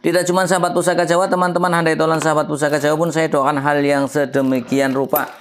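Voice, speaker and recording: A man with a stuffy nasal voice, hosting his own keris channel. He opens with long prayers, then explains a blade in a measured way, with pauses and fillers. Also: on the high side, level tone. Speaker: keris collector and channel host. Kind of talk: slow devotional-toned explanation to camera.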